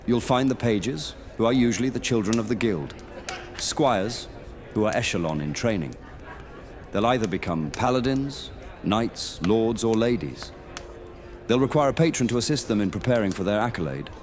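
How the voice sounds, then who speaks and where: A man playing a level-headed medieval knight who guides new recruits in a fantasy audio roleplay, measured and explanatory. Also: lowish voice, expressive, a little mellow, natural voice. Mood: welcoming, explanatory.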